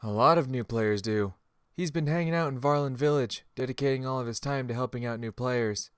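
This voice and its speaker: A man's voice: mid-range voice, fairly quick, smooth, dry recording, studio quality, natural voice. A man voicing a friendly, cocky veteran spearman in a fantasy game audiobook, with a casual, folksy, self-assured manner. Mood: amused, casual. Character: friendly, cocky male spearman, seasoned gamer.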